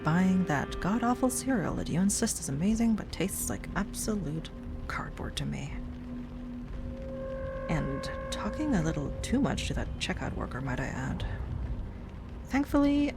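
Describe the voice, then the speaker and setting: A woman playing an obsessive, sweetly pleading ex-girlfriend in an intimate audio roleplay, speaking softly and haltingly. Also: fairly low pitch, sing-song. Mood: creepy, possessive. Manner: soft, halting, wistful, with sighs and nervous little laughs. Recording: music under the voice.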